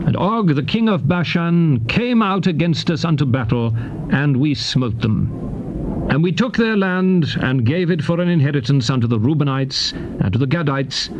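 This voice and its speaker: A British man reads scripture as a dramatized narration. His voice sits a little high for a man, and he declaims solemnly in measured phrases. The recording is an old cassette transfer with clear noise behind the voice. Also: expressive, dull audio. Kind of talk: dramatized King James Bible reading.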